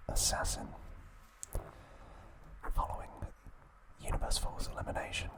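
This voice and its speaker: A man speaks entirely in a breathy, unvoiced whisper, drawing out each phrase slowly for an ASMR recap. He trips now and then over unusual names and quietly corrects himself before moving on. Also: low-quality recording. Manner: slow, unvoiced whisper with small slips and mispronunciations. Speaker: ASMR host.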